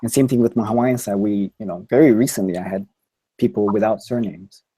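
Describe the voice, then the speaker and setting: A man speaking as a guest on a panel, hesitant with many pauses and ums. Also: on the low side, mellow, husky. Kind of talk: casual panel discussion.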